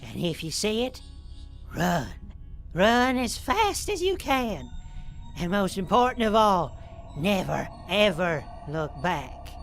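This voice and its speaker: A woman voicing a grave, foreboding old grandmother pony in an audio drama, speaking slowly and deliberately. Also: mid-range voice, big pitch swings. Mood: urgent warning. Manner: slow, grave, deliberate delivery. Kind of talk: scripted audio drama of fan fiction. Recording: faint music underneath.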